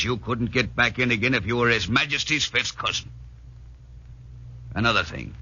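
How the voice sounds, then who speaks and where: An actor playing a tough, sarcastic Irish commando sergeant major in a 1940s radio drama, with a rough, commanding voice. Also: male voice, mid-range voice, sharp tone, heavily acted. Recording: dull vintage 1940s radio recording.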